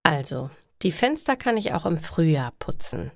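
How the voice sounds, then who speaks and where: A woman's voice: low voice, sing-song, moderate pace, no room sound, studio quality. A woman voicing a chatty woman who frets over her household chores in a story. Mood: friendly, chatty.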